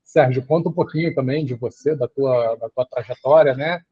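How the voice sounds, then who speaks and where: A man's voice: medium pitch, normal speed, breathy edge, dry recording, muffled recording. A man moderating a panel, casually handing the floor to the next guest. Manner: casual, informal.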